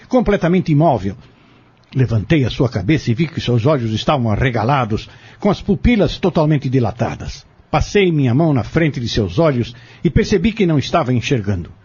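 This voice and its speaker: A man playing a cold, vengeful schemer who confesses his crimes in an audio drama, with a husky voice. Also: mid-range voice, darkish tone, highs cut off.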